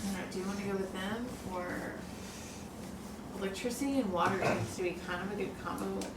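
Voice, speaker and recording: A woman player at a tabletop game who keeps asking questions and breaks into laughs easily. She sounds far from the mic in an echoey room. There is clear background noise. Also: mid-range voice, fast talker.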